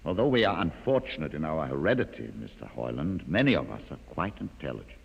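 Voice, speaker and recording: An actor playing a calm, educated mutant leader, courteous but menacing, with measured formal speech in a 1950s radio drama. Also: male voice, very deep voice, gravelly, slightly rough, full character voice. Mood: composed, proud. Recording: muffled vintage radio, long reverb.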